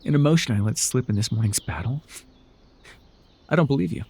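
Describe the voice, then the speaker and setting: Man acting a husky, theatrical supervillain. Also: mid-range voice, lively intonation, brisk, a little mellow. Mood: defensive, evasive. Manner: theatrical, grandiose, flustered, dryly condescending. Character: proud, sardonic male telepathic supervillain with hidden tenderness. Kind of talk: ASMR roleplay voice acting.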